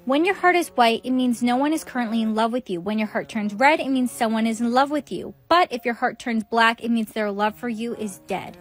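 Casting: actress as caring, dramatic woman, high voice, expressive skit delivery. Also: brisk, few pauses, close to an everyday voice. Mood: gentle explaining. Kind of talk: acted short melodrama skits.

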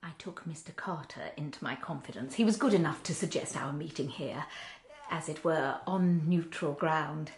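A woman's voice: low voice, normal speed, roomy sound. An actress playing an anxious, genteel older mother-in-law in a British period drama, insistent and pleading. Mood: grave, formal.

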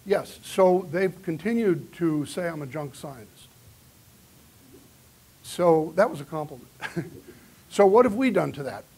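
A man's voice: a little high, animated, unhurried, pauses often, a little mellow, slightly rough. A man giving a conference keynote in a large hall, lecturing earnestly and taking audience questions. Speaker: keynote speaker. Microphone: far camera in the audience.

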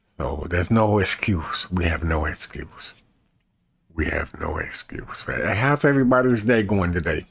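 A man in his fifties with a husky voice, chatting casually to camera in a folksy way and repeating himself as he demonstrates. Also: sits low, animated, a little slow, a bit bright, no echo. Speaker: evangelist and video creator. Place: small bedroom, indoors.

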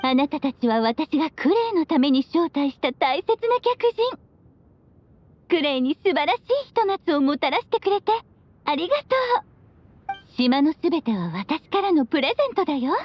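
A woman's voice: high-pitched, lo-fi sound. A woman playing a playful, free-spirited witch mother in a Japanese anime game, teasing and affectionate.

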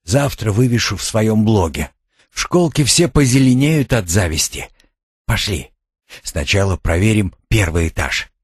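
A man's voice: fairly low pitch, expressive, measured pace, husky, no echo, studio quality. A man voicing a bossy, teasing seventeen-year-old boy in an audiobook, with slangy, taunting delivery. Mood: cocky, taunting.

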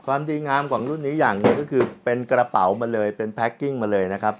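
A man's voice: medium pitch, fast. A man gives a casual, unscripted product demonstration, explaining step by step as a reviewer. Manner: explanatory, with frequent polite particles and spoken handling sounds. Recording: clearly audible background ambient noise.

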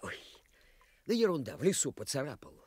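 Sly, animated young village lad. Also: male voice, mid-range voice, fairly quick, slightly rough, dry recording. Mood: coaxing. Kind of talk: Soviet radio play acting.

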